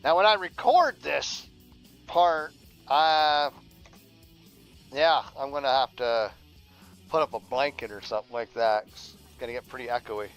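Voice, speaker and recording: A man's voice: medium pitch, measured pace, long pauses, faint music behind. A man rambling casually to himself and his viewers on a livestream as he works, muttering and swearing offhandedly. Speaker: streamer and audio drama scriptwriter. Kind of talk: casual livestream chat while working.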